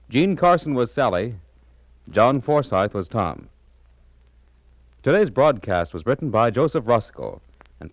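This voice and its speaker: A man's voice: mid-range voice, dry recording, dull audio. An American man announcing for network radio in a polished, formal, emphatic 1940s style.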